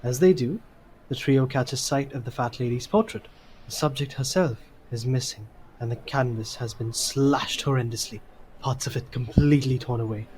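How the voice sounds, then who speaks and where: A man's voice: medium pitch, moderate pace, a little mellow, a little hiss or hum, rough recording. A man reading scripted story narration for an audio drama.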